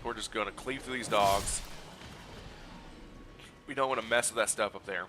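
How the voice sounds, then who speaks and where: A man streaming a video game while sick, hoarse and scratchy, talking through his play in a relaxed, explanatory way and greeting his chat. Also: moderate pace, soft background music. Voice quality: scratchy, low energy. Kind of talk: casual gameplay walkthrough commentary.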